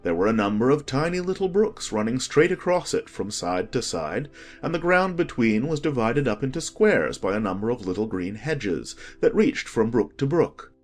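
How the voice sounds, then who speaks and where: Animated storybook narrator over soft music. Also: mid-range voice, normal speed. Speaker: audiobook narrator.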